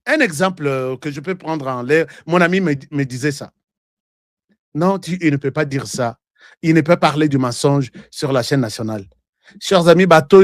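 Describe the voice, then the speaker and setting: A man's voice: fairly high pitch, no room sound, studio quality. A man with a Congolese French accent hosting a live online show, talking in heated, rambling political commentary and switching between languages.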